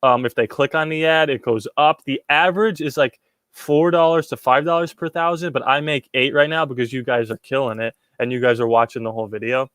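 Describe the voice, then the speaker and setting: Young guy chatting on his stream, rambling, lots of ums, mid-pitched voice, dry close mic. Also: fairly even intonation, fairly quick, fairly bright tone, clear, resonant tone, dry recording. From Puerto Rico. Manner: rambling, repeats words, frequent ums. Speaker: livestream host answering chat. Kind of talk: casual livestream chat.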